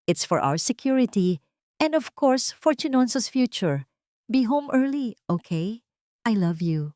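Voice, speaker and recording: A woman voicing a cold, scheming husband who speaks calmly with feigned tender concern in an audio drama. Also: a little high, measured pace, no room sound, studio quality, pitched above the performer's own voice. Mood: cold, falsely tender. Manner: calm, sickly-sweet false care.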